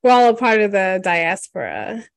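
Interviewer: woman, warmly encouraging questions, dry recording. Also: medium pitch, big pitch swings, moderate pace, gravelly, dry recording. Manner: encouraging, gentle, affirming. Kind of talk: oral history interview.

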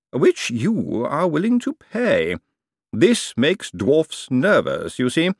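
A man's voice: medium pitch, no room sound, clean studio sound, pitched above the performer's own voice. A man voicing a wry, fussy older male lawyer in a storybook reading, drawing out his words slyly. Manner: sly, drawn-out, conspiratorial. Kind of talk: audiobook character voice.

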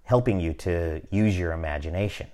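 A man in his fifties hosting a podcast, talking in a relaxed, motivational way and often checking in with "right?". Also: low voice, moderate pace. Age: 50s. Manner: conversational, repeats "right?" often, restarts phrases. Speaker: podcast host. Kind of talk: casual motivational chat.

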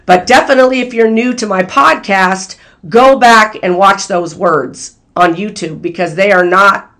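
A hoarse channel host, voice worn thin, delivers a prophetic word in an easy, conversational way. The talk stays earnest and speaks directly to the viewers. The pitch sits in a middle range. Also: measured pace, bright, forceful tone. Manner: earnest, talks straight to viewers as "you guys". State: hoarse, losing voice. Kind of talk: casual spoken prophecy to viewers.

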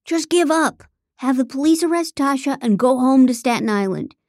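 A woman's voice: medium pitch, steady tone, moderate pace, warm tone, clear, resonant tone, no room sound, clean studio sound, lightly acted. A woman voicing a smug, goading male extortionist in an audiobook, with a taunting manner.

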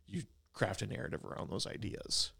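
A man from Wisconsin hosting a podcast, talking things through in a measured, thoughtful way and repeating words as he goes. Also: lowish voice, creaky, pushed and bright, husky, dry recording. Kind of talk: casual podcast chat.

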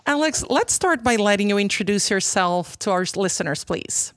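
A woman hosts a podcast in a polished, enthusiastic manner. Her voice sits at a middle pitch and sounds dry, with little room around it. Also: expressive, moderate pace, no room sound. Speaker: podcast host. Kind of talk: scripted podcast interviewing.

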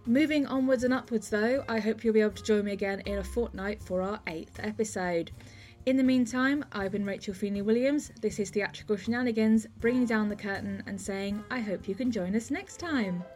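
A woman's voice: mid-range voice, brisk, a little mellow, soft background music. Woman hosting an arts podcast. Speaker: podcast host. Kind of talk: conversational review of an audio play.

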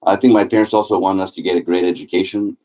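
A man's voice: sits low, fairly even intonation, fast talker, mellow. A middle-aged man from Greece, a guest on an interview podcast, answering in a friendly, talkative way with frequent fillers. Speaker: guest, happiness author and speaker.

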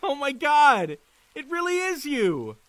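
An actor playing an excitable, apologetic young friend in an audio drama, questioning and hesitant in manner. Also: male voice, very high-pitched, slow pace, exaggerated voice. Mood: stunned, apologetic. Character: jittery, apologetic young friend named Tavy, easily amazed. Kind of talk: immersive audio drama roleplay acting.

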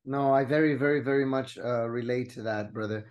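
Relaxed man reminiscing. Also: mid-range voice, brisk, highs cut off. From Greece. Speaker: musician, guest. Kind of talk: casual storytelling.

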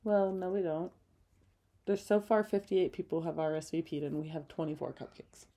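A young woman co-hosts a relaxed chat with her partner. She sounds bubbly and expressive, laughing readily and gasping when surprised. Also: sits low. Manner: bubbly, laughs often, quick gasps of surprise. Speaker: podcast co-host. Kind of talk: casual couple's chat.

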